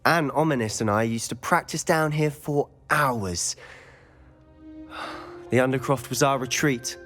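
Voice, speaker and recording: A man playing a confident, mischievous teenage schoolboy wizard in a video game, with a British accent and a smooth, conspiratorial way of talking. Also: mid-range voice, unhurried, fairly bright tone. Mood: nostalgic. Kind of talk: video game cutscene acting. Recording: music behind the voice.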